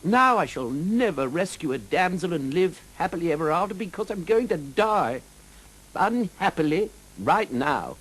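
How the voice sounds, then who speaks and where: Storybook knight, played by male narrator: English, high, animated, pompous blusterer, boastful yet cowardly. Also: normal speed, breathy edge, heavily acted, low-quality recording. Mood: miserable.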